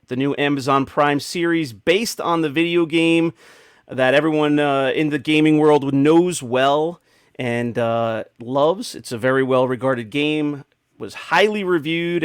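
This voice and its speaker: Higher-voiced man hosting, dry sound. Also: no echo. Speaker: host. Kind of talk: casual podcast hosting.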